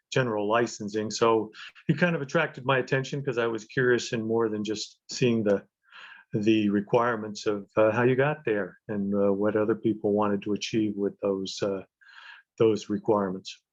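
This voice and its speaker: An older man from the US East Coast with an American accent, introducing himself informally over dull video call audio. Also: fairly low pitch. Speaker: library trustee, retired physician. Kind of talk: informal self-introduction in an online meeting.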